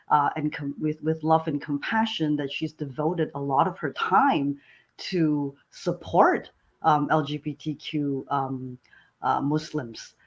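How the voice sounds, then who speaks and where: A woman from Malaysia, co-hosting, speaking warmly but hesitantly, with many ums and restarts. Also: sits low, husky. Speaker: co-host. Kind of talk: casual spoken remarks.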